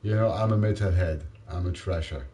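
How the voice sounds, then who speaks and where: A man, tired after a late night, rambling haltingly to the camera in a self-mocking way. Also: warm tone, slight background noise. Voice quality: deep voice. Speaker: vlogger. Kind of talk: casual rambling monologue.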